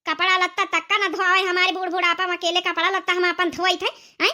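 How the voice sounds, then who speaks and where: Shrill, nagging old Awadhi grandmother played by a woman. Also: level tone, fast, bright, forceful tone, exaggerated voice. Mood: scolding. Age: older. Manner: nagging, complaining. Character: scolding, grumbling old village grandmother and mother-in-law. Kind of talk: cartoon comedy dialogue.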